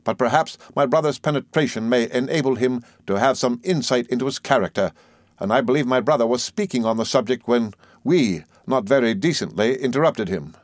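A man voicing a severe, sour, sharp-tongued spinster in a Victorian storybook, with an emphatic, drawn-out, disapproving delivery. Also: mid-range voice, no echo, clean studio sound, voice pushed up, close to an everyday voice. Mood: sharp, sardonic. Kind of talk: audiobook character in a Victorian novel.

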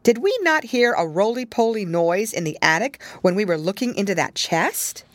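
A firm, no-nonsense neighbor cat talks in a brisk, scolding voice, as a woman puts her on. She takes charge at once. Close and dry storybook reading. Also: mid-range voice, expressive, no room sound, voice pushed up, natural voice. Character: brisk stern neighbor cat, middle-aged, bossy.

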